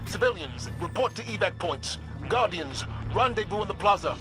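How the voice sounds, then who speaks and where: An actor playing a stern, protective military commander in a video game, commanding and resolute. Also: male voice, high-pitched, animated, moderate pace, music in the background. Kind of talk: video game cutscene acting.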